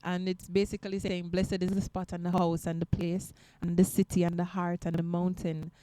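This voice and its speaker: A woman who sings, talking in a casual interview in a soft, reflective and earnest way. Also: lowish voice, little pitch movement, moderate pace, no room sound, rough recording. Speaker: guest singer.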